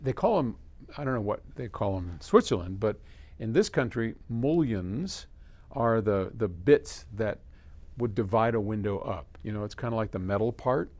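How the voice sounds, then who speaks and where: A man's voice: on the low side, a little mellow. Folksy man giving casual, conversational advice. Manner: folksy, conversational, thinking aloud. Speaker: host answering a listener's audio question. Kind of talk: casual explainer, reading a letter then advising.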